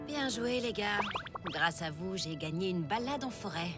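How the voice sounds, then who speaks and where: An aloof female cartoon animal voiced by a woman drawls with dry sarcasm. The delivery is deadpan and self-possessed, with loud music behind it. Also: medium pitch, a little slow, close to an everyday voice. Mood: sarcastic. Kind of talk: animated children's cartoon acting.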